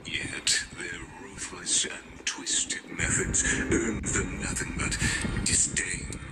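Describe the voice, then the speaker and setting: An actor playing a grave, measured man who delivers a solemn, weighty monologue in a game. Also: sits low, fairly even intonation, loud music behind the voice. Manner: slow, weighty, deliberate. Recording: loud ambient noise behind voice.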